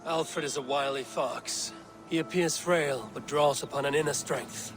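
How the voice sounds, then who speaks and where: An actor playing a seasoned Viking clan leader in a video game, speaking low and measured, with game music behind. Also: male voice.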